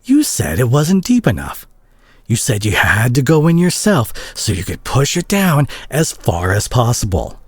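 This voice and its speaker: A man playing a concerned, exasperated superhero scolding a reckless teammate in a dramatic audio roleplay, husky and breathy, with halting, emphatic pauses. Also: medium pitch, huge pitch swings, no echo, exaggerated voice. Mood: stern, exasperated.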